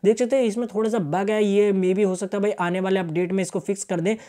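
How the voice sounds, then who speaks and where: Casting: young male tech reviewer, rapid delivery, softened mellow tone, chummy casual walkthrough style. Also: high voice, fairly even intonation. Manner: colloquial, addresses viewers chummily as brothers. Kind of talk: casual phone feature walkthrough.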